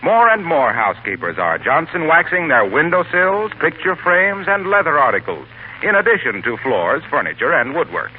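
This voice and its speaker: A man's voice: fairly high pitch, sing-song, moderate pace, slight background noise. A man with an American accent announcing in polished, declamatory 1940s radio style. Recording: tinny 1940s radio recording.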